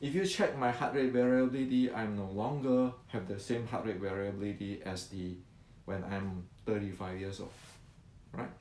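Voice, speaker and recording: A man's voice: fairly low pitch, fairly even intonation, moderate pace, a little mellow. A man in his sixties explaining martial arts to viewers, often repeating words and answering his own questions. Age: 60s. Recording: roomy echo.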